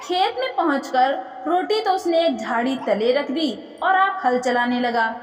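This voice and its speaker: High-pitched, animated woman storyteller in echoey room. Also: unhurried. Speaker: story narrator. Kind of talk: expressive reading of a moral folk tale. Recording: echoey room with long reverb.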